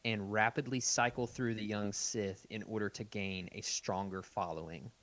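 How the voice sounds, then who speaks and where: A man's voice: on the low side, steady tone, normal speed, fairly bright tone. A man reading text adventure game narration aloud and chatting casually as a podcast host.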